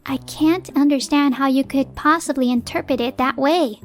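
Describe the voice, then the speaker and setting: A high, youthful wife's voice acted by a woman tells her story in a manga dub with faint music behind it. She is composed but exasperated, blunt and determined to stand on her own. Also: moderate pace, lightly acted. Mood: indignant, firm. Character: fed-up young working wife and mother, determined, blunt. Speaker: narrator and lead character. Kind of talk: manga dub, narration and dialogue.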